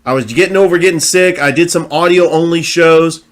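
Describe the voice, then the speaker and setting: Energetic man hosting a sports show. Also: on the high side, moderate pace. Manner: energetic, conversational with fillers and restarts. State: recovering from lost voice. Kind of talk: sports talk show monologue.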